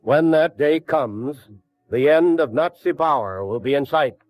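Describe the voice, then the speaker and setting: An actor playing a firm, confident Navy Secretary delivering a formal wartime address. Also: male voice, a little high, lively intonation, a little slow, no echo, soft background music. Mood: resolute. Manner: firm, declarative.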